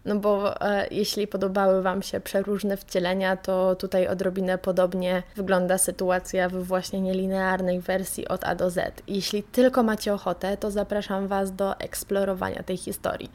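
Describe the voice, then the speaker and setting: A woman's voice: medium pitch, completely flat delivery, moderate pace, few pauses, a little mellow. A woman hosting a radio programme, introducing things in a conversational way. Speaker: radio host and audio drama maker. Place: at home, near a balcony.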